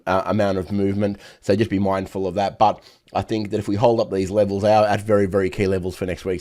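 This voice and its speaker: A hoarse man who has nearly lost his voice, giving brisk trading chart commentary with many fillers. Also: on the low side, warm tone. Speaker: market analyst host.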